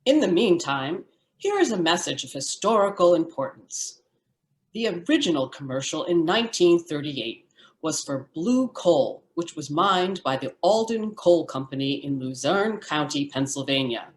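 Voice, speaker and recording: A woman's voice: deep voice, very animated, normal speed. A woman announcer reading scripted narration for a radio show. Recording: roomy, echoing sound.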